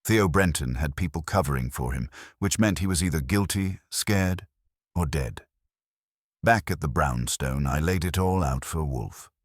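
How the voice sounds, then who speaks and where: A man's voice: a bass voice, measured pace, dry recording, clean studio sound. A man reading a scripted first-person detective story as an audiobook narrator.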